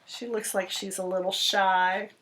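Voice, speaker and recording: A woman speaks as a school librarian to young children. Her delivery is unhurried and explanatory, with pauses and stressed key words, in a bright, forceful medium-pitched voice. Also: flat delivery, slight background noise, low-quality recording. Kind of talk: read-aloud talk for young children.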